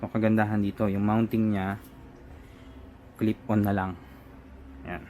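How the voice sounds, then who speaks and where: A man's voice: on the low side, flat delivery, measured pace, a little mellow, slight background noise. A Filipino man casually talking through a hands-on product installation step by step, as a reviewer. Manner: informal, step-by-step, narrating own hands-on work. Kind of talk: casual unboxing and installation walkthrough. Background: music.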